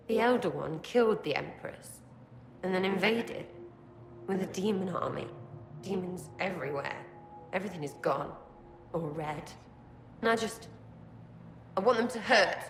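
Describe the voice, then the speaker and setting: A woman's voice: mid-range voice, fairly bright tone. An actress playing a frightened, bitter young rebel archer in a video game, blunt and jittery in her speech. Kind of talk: dramatic video game cutscene acting. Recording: echoey room with faint noise.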